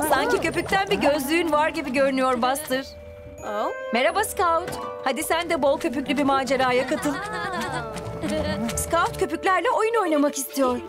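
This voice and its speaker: A woman narrates a children's cartoon in a high voice. She is expressive and addresses both the characters and young viewers. Music plays steadily behind her.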